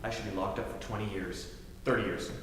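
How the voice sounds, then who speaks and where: A man's voice: on the low side, steady tone, quick pace, close to an everyday voice. A man playing a hard-boiled private detective in a 1940s-style radio comedy, with tough, melodramatic gangster talk. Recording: echoey hall with faint noise.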